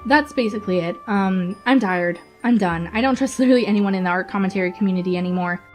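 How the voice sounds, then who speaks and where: A woman's voice: medium pitch, fast talker, soft background music. A woman giving casual, opinionated commentary in a blunt, exasperated way.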